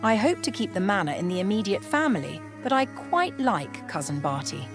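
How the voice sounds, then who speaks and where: A woman's voice: mid-range voice, very animated, normal speed. A woman voicing a proud, scheming older aristocratic grandmother in a storybook, formal and assured. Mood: firm. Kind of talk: period storybook dialogue. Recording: music behind the voice.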